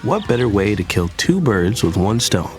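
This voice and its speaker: A young actor playing an idealistic younger brother tells his story in first person. His pitch sits in an ordinary male range but moves with lively inflection, over faint music. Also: normal speed. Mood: reflective, storytelling. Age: young adult. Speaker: narrator and character. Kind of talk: first-person scripted audio drama narration.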